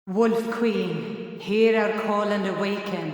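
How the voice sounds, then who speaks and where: A woman as a slow, echoing necromancer. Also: medium pitch, steady tone, darkish tone, lightly acted. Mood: solemn, commanding. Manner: solemn, incantatory. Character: solemn female necromancer chanting a summoning ritual. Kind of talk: ritual incantation in a fantasy game. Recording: cavernous echo with long reverb.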